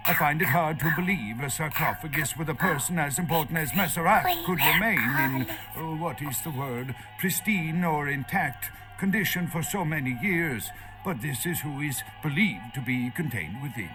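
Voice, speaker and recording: Man playing a nervous, animated, high-voiced scholar. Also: moderate pace, hardly any pauses, music in the background, natural voice. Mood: earnest, lecturing. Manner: lecturing, hesitant, searching for words. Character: nervous, erudite male foreign archaeologist held captive. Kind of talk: video game voice acting.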